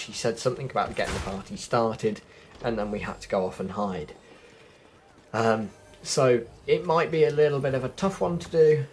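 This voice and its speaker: A man's voice: mid-range voice, a little mellow, a little hiss or hum. A man giving a casual, chatty gameplay walkthrough, explaining things directly to viewers as a video host.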